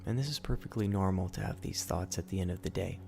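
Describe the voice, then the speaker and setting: A man leading a guided sleep meditation in a soothing, gentle, unhurried way, as the host. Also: deep voice, vocal fry, music in the background.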